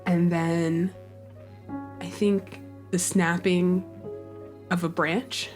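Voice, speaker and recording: A woman voicing an ancient, wistful being in a roleplay, slowly and haltingly recalling its past in a hushed voice. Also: lowish voice, little pitch movement, soft background music. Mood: haunted, dazed.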